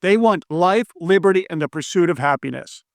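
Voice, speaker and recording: American economist guy, kinda high voice, dry close sound, keeps pushing the same point. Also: lively intonation, a little fast, gravelly, dry recording. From United States. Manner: emphatic, repeats his key claims, stumbles over foreign names. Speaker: monetary economist presenting a video lesson. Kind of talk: earnest persuasive talk to camera. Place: indoor room with brick and stone walls.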